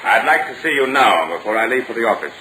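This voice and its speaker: A man as exasperated, animated middle-aged father. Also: mid-range voice, fast talker, natural voice. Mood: stern. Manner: firm, questioning, authoritative. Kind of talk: 1940s radio comedy acting. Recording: noisy vintage 1940 radio broadcast recording.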